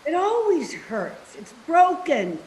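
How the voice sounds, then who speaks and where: A woman's voice: very high voice, very animated, slow pace, a little mellow, a little hiss or hum, full character voice. A woman playing a stubborn, accusing, ailing elderly mother in a readers theater drama, speaking in terse retorts. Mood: sullen. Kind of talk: readers theater stage acting. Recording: echoey room sound.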